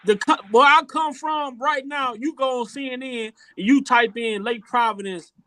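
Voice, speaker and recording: A man's voice: extremely high pitch, moderate pace, pushed and bright, no echo. A man from rural Louisiana, hosting a casual opinion talk show and speaking earnestly, often repeating words as he builds a point. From rural Louisiana, USA. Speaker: podcast host.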